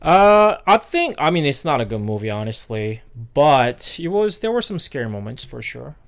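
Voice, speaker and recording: A man talks through a podcast in easy, meandering casual chat. He stops often on fillers and restarts. His voice sits at an ordinary middle pitch for a man. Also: big pitch swings, normal speed. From South Korea. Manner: loose and rambling, many fillers and restarts. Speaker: podcast co-host.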